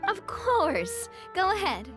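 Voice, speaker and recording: Young boss gal, high voice, caring but decisive, game character, music under it. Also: female voice, huge pitch swings, slow pace, fairly bright tone, exaggerated voice. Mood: warm, reassuring. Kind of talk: video game voice acting. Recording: music behind the voice.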